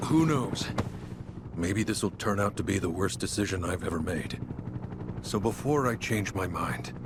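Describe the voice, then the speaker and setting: A man playing a weary, measured older innkeeper helping fugitives in a cinematic video game. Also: deep voice, big pitch swings, heavy background noise. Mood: calm, resolute.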